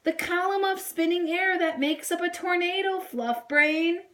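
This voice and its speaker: A woman voicing a brainy, bossy female scientist doll, an excited and emphatic know-it-all in a children's storybook. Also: extremely high pitch, a little slow, pitched above the performer's own voice. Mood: bossy, thrilled.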